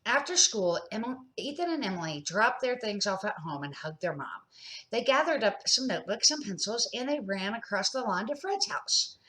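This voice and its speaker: A woman's voice: mid-range voice, animated, moderate pace, fairly bright tone, low-quality recording. An older woman, a grandmother, reading a children's storybook aloud as an amateur narrator, with expression and the odd small stumble.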